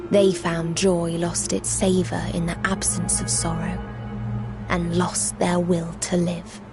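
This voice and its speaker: A mournful, otherworldly female creature who speaks as one of many. Her delivery is slow, solemn and declamatory, in the archaic language of a fantasy game cutscene, with music clearly behind her. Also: fairly low pitch. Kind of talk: video game cutscene monologue, formal archaic narration.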